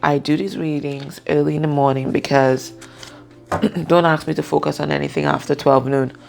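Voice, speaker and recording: A woman with a husky voice giving a casual, unscripted card reading, pausing and often checking in with the listener. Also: deep voice, level tone. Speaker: tarot and oracle card reader.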